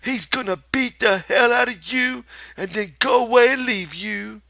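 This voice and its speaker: A man voicing a giant imaginary rabbit that sneers and scolds in a high, harsh voice. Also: measured pace, creaky, pushed and bright, no echo, studio quality, heavily acted. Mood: scornful. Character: giant scornful imaginary rabbit, male, taunting.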